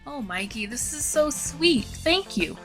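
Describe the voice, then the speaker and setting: A woman's voice: high-pitched, lively intonation, unhurried, a bit bright, faint music behind. A woman playing a friendly, caring young woman in a motion comic, casual and affectionate. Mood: warm, grateful.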